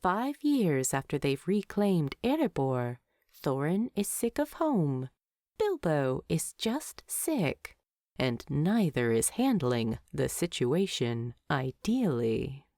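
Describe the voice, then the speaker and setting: Woman narrating an audiobook, really expressive up n down, takes her time, dry close recording. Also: low-pitched, takes it slowly, no echo. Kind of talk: expressive scripted story narration.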